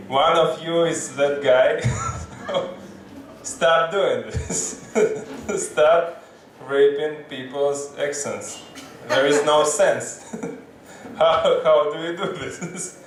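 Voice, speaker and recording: A man from the United States doing a stand-up set, halting and self-mocking as he chuckles between lines. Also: fairly high pitch, far from the mic, slight background noise. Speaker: stand-up comedian. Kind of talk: stand-up set at an open mic. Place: comedy club stage. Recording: echoey live room from afar.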